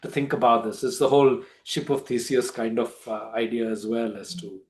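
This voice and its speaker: A man's voice: medium pitch, fairly quick. A man with an Indian accent in an informal book club discussion, explaining and often rephrasing as he goes.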